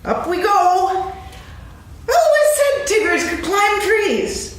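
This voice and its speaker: A woman's voice: very high-pitched, very animated, takes it slowly, heavily acted. A woman playing a boastful, bouncy young tiger in a staged reading of a children's story, speaking emphatically. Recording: echoey hall from far off, faint noise.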